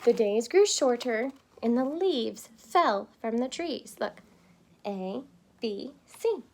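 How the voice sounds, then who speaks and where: A woman reading a picture book aloud to children, expressive and stopping to explain words. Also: on the high side, slow pace. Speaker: storybook reader.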